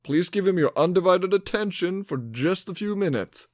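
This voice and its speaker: A man playing a brisk, friendly master who guides new recruits in an audio drama, in an instructive, announcing manner. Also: high-pitched, expressive, darkish tone, dry recording, studio quality. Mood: brisk, welcoming.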